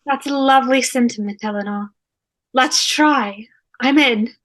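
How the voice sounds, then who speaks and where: A woman's voice: on the high side, measured pace, fairly bright tone. A woman on a video call table read, playing a posh, prim and gracious character. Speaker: amateur table-read actor. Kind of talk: fan table read of TV comedy script.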